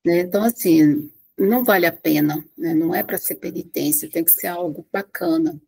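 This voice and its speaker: Husky-voiced Brazilian woman giving earnest advice. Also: fairly low pitch, normal speed, a little mellow. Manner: earnest, advice-giving, frequent "né?" tags and restarts. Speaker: pilgrim sharing trail tips. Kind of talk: informal live presentation. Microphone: webcam or computer mic on a live stream.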